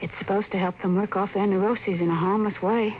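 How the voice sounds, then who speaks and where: A woman playing an anxious, gentle mother who speaks in a soft, worried way, in 1950s radio drama acting. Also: medium pitch, fairly even intonation, brisk, close to an everyday voice. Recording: muffled, loudly hissing 1950s radio broadcast.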